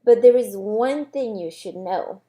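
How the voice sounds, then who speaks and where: A woman voicing a calm, all-knowing God in a storybook, patient and gentle, with measured, assured pronouncements. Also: fairly high pitch, natural voice. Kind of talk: storybook character reading.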